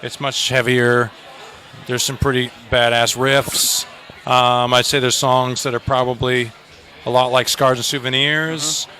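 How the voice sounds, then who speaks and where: A man's voice: mid-range voice, little pitch movement, measured pace, sharp tone. A man in a rock band chatting casually in an outdoor festival interview, joking and rambling with restarts.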